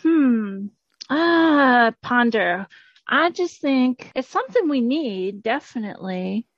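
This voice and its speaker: Woman playing a high, lilting little troll. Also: expressive, slow pace, no room sound, lo-fi sound, heavily acted. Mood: pondering. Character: small, wonderstruck little troll with pouch.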